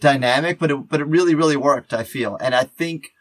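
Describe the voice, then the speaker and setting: A man's voice: fairly high pitch, fairly quick. A man hosting a podcast in casual chat, rambling and often interrupting himself mid-sentence. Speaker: podcast host.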